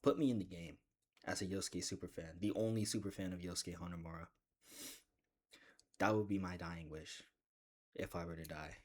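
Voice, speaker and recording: A man with a deep voice chatting casually in a gaming vlog, rambling and joking with bursts of excitement. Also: normal speed, pauses often, breathy edge. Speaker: gaming video creator.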